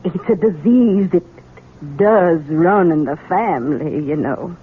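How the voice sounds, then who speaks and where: An actress playing a bitter, sardonic old mother in a 1970s radio drama, speaking deliberately. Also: lowish voice, animated, noisy background. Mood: pondering. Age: older. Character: bitter old mother once declared dead. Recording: muffled 1970s radio recording, narrow band.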